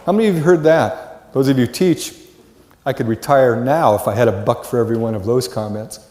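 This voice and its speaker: A man's voice: medium pitch, brisk. An American man in his sixties or older giving a live lecture to students, telling stories and asking rhetorical questions. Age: 60s or older. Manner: animated storytelling, rhetorical questions, acts out brief dialogues. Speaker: college psychology lecturer. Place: large lecture hall with reverb. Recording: echoey hall, reverberant live lecture.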